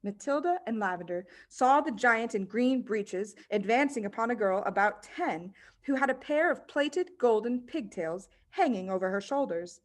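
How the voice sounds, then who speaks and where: A woman reading a children's book aloud as narrator, in an expressive storytelling style. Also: on the high side, normal speed, hardly any pauses. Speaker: audiobook reader.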